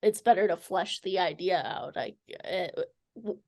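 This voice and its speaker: A woman's voice: lowish voice, a little slow, gravelly, darkish tone. A woman interviewing a guest in a casual chat, with hesitant, tentative phrasing.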